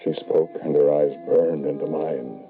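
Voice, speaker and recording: An actor playing a grieving, doting middle-aged father in 1940s radio horror, dramatic and halting, on a tinny old recording. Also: extremely low pitch, steady tone, gravelly, faint music behind, heavily acted. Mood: haunted narrating. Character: grieving, desperate, doting father who summons his dead son.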